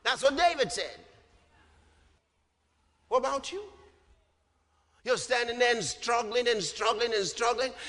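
A man's voice: very high-pitched, expressive, unhurried, pauses often, sharp tone. A man preaching an impassioned sermon, emphatic and repetitive, punctuated with rhetorical questions. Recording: long hall reverb.

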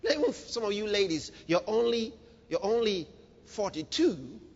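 This is a man preaching in a high, very animated voice that swings widely in pitch. He is dramatic and emphatic, repeating key phrases and putting questions to his congregation. The sound is slightly muffled, with the long reverb of a big church hall. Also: normal speed, gravelly. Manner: engages the congregation with questions and humour. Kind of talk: animated sermon with scripture reading.

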